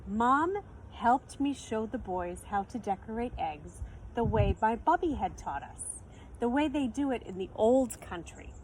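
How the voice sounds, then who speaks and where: A woman's voice: fairly high pitch, expressive, measured pace, darkish tone, rough recording. A woman storyteller reading a children's picture book expressively, in a teacherly manner. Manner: teacherly, explains words and asks listeners questions. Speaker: read-aloud storyteller. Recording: faint background noise.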